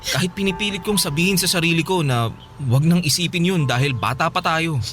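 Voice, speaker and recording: An actor plays an earnest young Filipino man in a radio drama, firm yet apologetic. His voice is high for a man and bright, over faint music. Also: fairly quick, close to an everyday voice. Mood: sad, apologetic.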